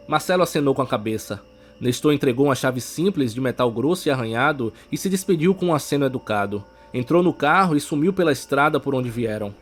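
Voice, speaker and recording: A man with a Brazilian accent reading a scripted suspense audiobook as narrator, with music behind him. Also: medium pitch.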